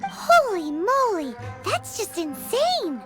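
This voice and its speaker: Tiny female fairy sidekick, squeaky childlike voice, very animated pitch, excitable, exclamatory, video game. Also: slow, full character voice. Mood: excited, eager. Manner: exclamatory, speaks of herself in third person. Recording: clean voice over loud game music.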